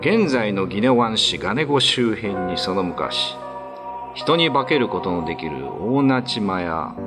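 A man narrating a scripted ghost story for a radio drama in a deliberate, theatrical way. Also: fairly low pitch.

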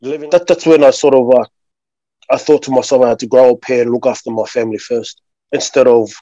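A man's voice: mid-range voice, warm tone, no echo, muffled recording. A man from Sydney with an Australian accent, talking casually as a guest and filling his speech with "you know" and "bro". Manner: laid-back, lots of "you know" and "bro". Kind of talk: casual interview talk.

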